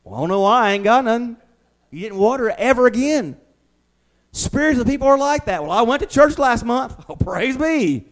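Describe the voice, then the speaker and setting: A man's voice: extremely high pitch, very animated, brisk. A middle-aged man preaching an informal, conversational sermon as a pastor, in a folksy way. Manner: folksy, uses homely examples and acts out imagined replies.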